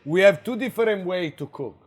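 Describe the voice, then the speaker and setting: Middle-aged Italian-accented restaurateur, somewhat high voice, faint music behind, halting chatty delivery. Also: male voice. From Italy. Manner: halting, filler-heavy, animated with laughs. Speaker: restaurant co-owner. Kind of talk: casual interview chat.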